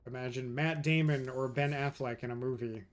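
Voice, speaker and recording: A man's voice: mid-range voice, fairly even intonation, moderate pace, slight background noise. Chatty man streaming a game. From New York, USA. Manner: chatty, loose, answers chat as he plays. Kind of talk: casual gameplay chat with viewers. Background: faint game music.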